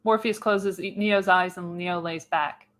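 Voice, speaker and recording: Woman reading stage directions for a radio play, voice a bit low, scripted narration. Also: fairly even intonation, a little slow. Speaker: narrator, podcast host.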